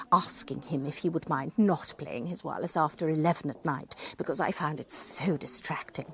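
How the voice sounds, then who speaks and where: A woman's voice: lowish voice, a little mellow, breathy edge. Wry, confiding British woman. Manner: chatty, dryly humorous, confiding. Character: wry, self-deprecating lady psychologist, former French teacher. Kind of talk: radio drama first-person narration.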